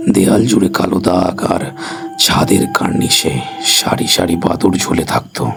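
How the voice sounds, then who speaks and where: Very deep, gravelly male horror storyteller. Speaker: storyteller and channel narrator. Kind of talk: scripted horror story narration.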